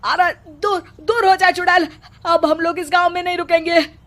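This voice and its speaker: A woman's voice: very high-pitched, steady tone, normal speed, a bit bright. A woman voicing an ominous, accusing fake holy man in a melodramatic village cartoon.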